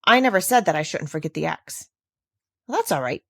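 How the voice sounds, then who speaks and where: A woman's voice: deep voice, lively intonation, a little fast, creaky, a bit bright, dry recording, clean studio sound, full character voice. Sullen, easily frightened goatherd boy, played by a woman. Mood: cross. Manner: cross, scornful, stammering when afraid. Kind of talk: children's storybook read aloud.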